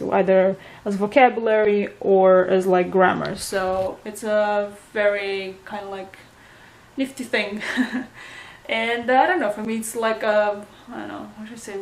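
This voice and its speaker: A young woman with a Romanian accent, a native Romanian speaker, chatting casually in a home vlog and often pausing to search for words. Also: mid-range voice, darkish tone, rough recording. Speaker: vlogger.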